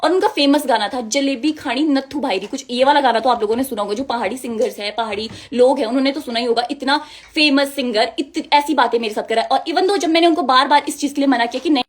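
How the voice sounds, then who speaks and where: A woman's voice: high-pitched, quick pace, few pauses. A young woman, giving an indignant, emphatic personal account straight to her viewers. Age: young adult. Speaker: music video model.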